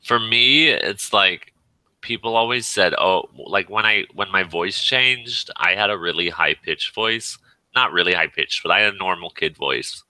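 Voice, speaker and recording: A man with a very deep voice in casual chat, telling a personal story in an emphatic, rambling way and acting out what was said. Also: measured pace, sharp tone, dry recording, low-quality recording. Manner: emphatic, rambling, acts out quoted remarks. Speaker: participant in an online voice chat.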